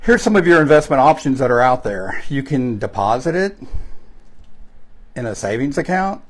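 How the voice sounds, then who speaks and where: A man from Texas with a Texan accent, giving a chatty, direct-to-camera sales pitch for real estate investing, full of fillers and self-corrections.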